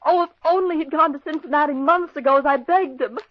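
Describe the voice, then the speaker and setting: Brief: actress as a distraught young fiancée, earnest, dry close old radio recording. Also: female voice, high-pitched, quick pace, no room sound, close to an everyday voice. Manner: earnest, pleading. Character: grieving young woman, a murdered man's fiancée. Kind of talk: 1940s radio acting. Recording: muffled old radio broadcast.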